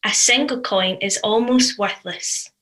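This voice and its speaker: Female reader, clear-cut bright tone, mid pitch, scripted devotional piece. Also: unhurried.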